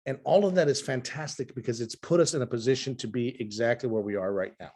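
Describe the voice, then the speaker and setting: A middle-aged man presenting over a video call, hoarse from talking nonstop, with an emphatic, repetitive sales delivery. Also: medium pitch, fast talker, dark tone.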